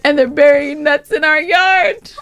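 A woman co-hosting a podcast in casual banter, telling stories in an animated, conversational way. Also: high voice, unhurried, dry recording. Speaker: podcast co-host.

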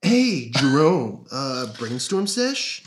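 A man's voice: medium pitch, sing-song, slow pace, slight background noise, pitched above the performer's own voice. A man voicing a bossy, slangy himbo teenage boy who leads a band in a comedy sketch. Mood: bossy, hyped. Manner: bossy, slangy dude talk.

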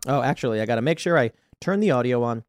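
A man hosting a commentary show, talking through his views in a halting way with many repeated words and sudden loud emphasis. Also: mid-range voice, lively intonation, dry recording, studio quality. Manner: hesitant, repeats words, stresses points loudly. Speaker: show host. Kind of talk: political commentary monologue.

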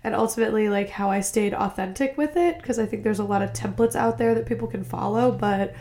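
A young woman hosting a podcast, talking in a chatty, upbeat way with quick asides. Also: medium pitch, warm tone. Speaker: podcast host. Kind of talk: casual podcast interview. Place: home in a cold city.

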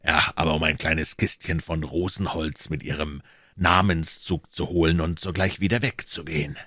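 A man voicing a loyal, assured gentleman reporting to his master in an audiobook, measured and precise. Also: extremely low pitch, fairly even intonation, no echo, clean studio sound, heavily acted. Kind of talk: audiobook character dialogue.

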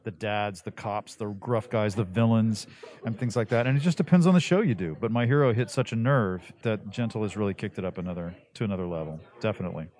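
A middle-aged man with a deep bass-baritone voice, chatting as a voice-actor guest in a casual interview and leaping up in pitch to stress his points. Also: fast. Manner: animated, jumps up in pitch for emphasis.

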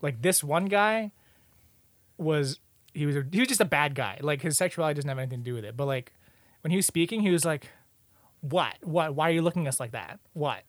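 A man's voice: on the high side, a little fast, no room sound, clean studio sound. A young man telling a story in casual, slangy, sweary chat, acting out what people said as he goes. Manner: slangy, profane, acts out quotes conversationally. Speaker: podcast co-host telling a story.

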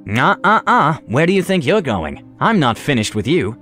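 A man voicing a sadistic, gloating evil wizard in a fantasy story, with a taunting, menacing, drawn-out delivery. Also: on the high side, very animated, sharp tone, soft background music, pitched above the performer's own voice. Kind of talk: dramatized tabletop fantasy storytelling.

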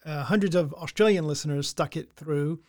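A man's voice: fairly high pitch, fairly quick. A middle-aged man co-hosting a podcast in casual, crude, joking banter with many restarts. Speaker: podcast co-host.

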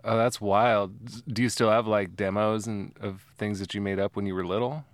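A man hosting a relaxed interview, talking with lots of fillers and restarts. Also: fairly low pitch, normal speed, no room sound. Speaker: podcast host. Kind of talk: casual interview.

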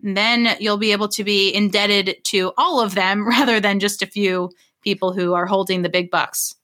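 A woman's voice: mid-range voice, fairly even intonation, moderate pace, bright, forceful tone. A young woman from Maine co-hosting a podcast, chatting in an earnest, casual way with sighs and laughs. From Portland, Maine, US. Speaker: podcast co-host.

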